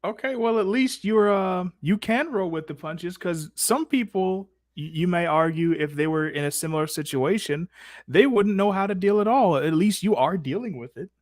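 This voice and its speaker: A man's voice: high voice, moderate pace, no echo, clean studio sound. A man hosting a casual livestream Q&A with slangy, mock-exasperated banter. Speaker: livestream host.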